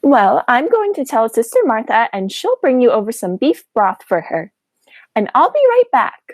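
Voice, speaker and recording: A young woman acting a sweet, fussy, chatty elderly aunt with a genteel manner in a high school radio play. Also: very animated, normal speed, no room sound. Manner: genteel, fluttery, coaxing.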